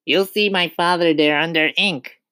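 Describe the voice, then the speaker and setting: A man's voice: fairly high pitch, fairly even intonation, normal speed, pushed and bright, smooth, no room sound, voice pushed up. A man voicing a brave little schoolboy from a children's storybook, earnest and plain-spoken. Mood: earnest, bold.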